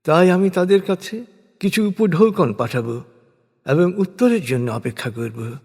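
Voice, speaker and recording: A man reading a scripted scripture translation in a measured, solemn, deliberate manner. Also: high voice, a little mellow. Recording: long hall reverb on voice.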